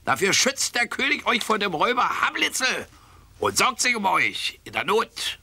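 Very high-voiced pompous royal official. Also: male voice, sing-song, normal speed, creaky, background noise, heavily acted. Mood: officious, slyly friendly. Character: officious, falsely friendly royal tax official. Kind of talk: children's audio play acting.

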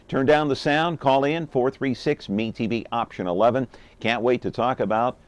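A man's voice: mid-range voice, moderate pace, no echo. A man in his fifties hosting a local TV talk show, chatty and upbeat as he interviews a guest in the studio.

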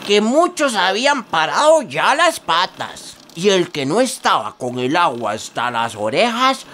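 An actor playing a folksy, wry rural storyteller with a Costa Rican accent, narrating a radio drama in a colloquial, teasing manner. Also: male voice, high-pitched, a bit bright, exaggerated voice. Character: folksy male rural storyteller, wry banana-country old hand.

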